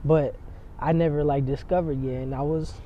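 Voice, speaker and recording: A man's voice: fairly high pitch, fairly even intonation, normal speed, slight background noise. A young man from San Diego, a rapper guest, chatting loosely with lots of fillers. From San Diego, USA. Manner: laid-back, rambling, heavy on filler words. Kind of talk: casual interview chat. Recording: dull lo-fi outdoor audio.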